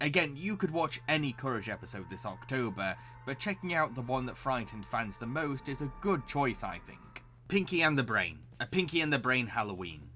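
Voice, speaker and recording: A man's voice: mid-range voice, very animated, a little fast, few pauses, sharp tone, faint music behind. A man narrating a scripted cartoon review as the host. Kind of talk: scripted video essay narration.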